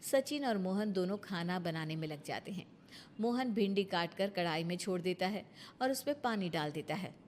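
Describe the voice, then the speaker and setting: A woman's voice: on the low side, expressive, normal speed, darkish tone, a little hiss or hum. A woman reading scripted story narration as a storyteller.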